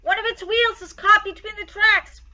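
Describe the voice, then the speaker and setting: Woman doing a shrill, panicked trolley driver. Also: steady tone, moderate pace, muffled recording, full character voice. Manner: shrill, alarmed shouting. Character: panicked male trolley driver, shouting for help.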